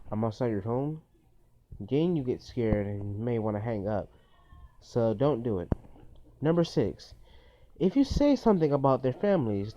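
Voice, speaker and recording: A man's voice: medium pitch, warm tone, slight background noise. A man giving informal list-style advice as a video host, in an earnest, cautionary manner with repetitive phrasing. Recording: dull, lo-fi phone recording.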